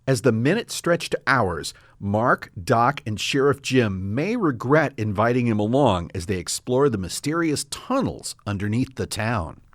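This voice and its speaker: A man's voice: mid-range voice, sing-song, measured pace, hardly any pauses, no room sound, clean studio sound. A man narrating a scripted comic story in a wry, confiding way.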